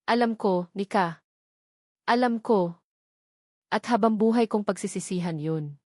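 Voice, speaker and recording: A woman's voice: mid-range voice, measured pace, a little mellow, no room sound, natural voice. A woman acts out a pained, remorseful young father in a romantic audio story. The lines are earnest and pleading, with emphatic repeats, in a dry, close recording. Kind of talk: dramatic audio love story.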